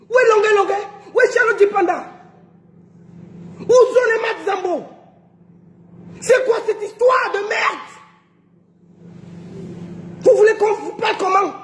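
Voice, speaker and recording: A woman from Cameroon in an indignant rant, shouting and tripping over her words in anger. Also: extremely high pitch, reverberant, slight background noise. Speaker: angry social media commenter.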